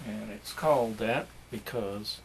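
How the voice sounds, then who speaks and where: Low voiced guy slowly walking through a demo, kinda halting and plain spoken. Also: slight background noise. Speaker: vintage radio collector demonstrating. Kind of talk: casual narrated demonstration.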